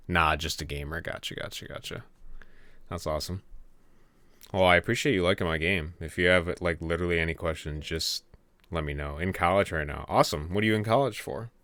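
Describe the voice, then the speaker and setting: A man's voice: low voice, bright, forceful tone, no echo. A young man from Michigan, a game developer chatting casually with viewers on a late-night stream, laid-back and chatty. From Michigan, USA. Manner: laid-back, chatty, reads chat aloud. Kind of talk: casual live-stream chat. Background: keyboard typing and clicking.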